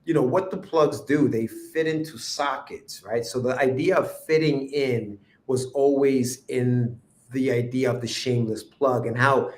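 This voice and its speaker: A man from Ecuador, a guest cartoonist, chatting casually about how he came up with a character, rambling and trailing off softly. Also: medium pitch, steady tone, unhurried, hardly any pauses, roomy sound. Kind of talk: casual interview chat. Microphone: remote livestream call.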